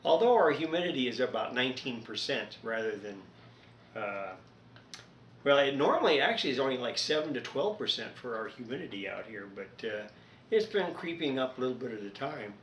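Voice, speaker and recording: A man chatting casually in an unhurried, rambling, folksy way, as a hobbyist host of a home repair video recorded indoors. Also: mid-range voice, big pitch swings, slight background noise.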